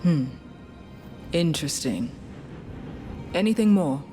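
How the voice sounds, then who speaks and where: A woman playing a stern, proud rebel leader in a fantasy game, deliberate and authoritative. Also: low-pitched, lively intonation. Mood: skeptical. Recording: loud music behind.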